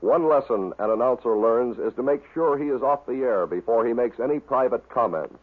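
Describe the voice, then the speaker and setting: Husky American man narrating, thin old recording. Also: mid-range voice, expressive, a little fast. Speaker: narrator of a radio bloopers compilation. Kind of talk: scripted narration. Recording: narrow phone-like vintage recording.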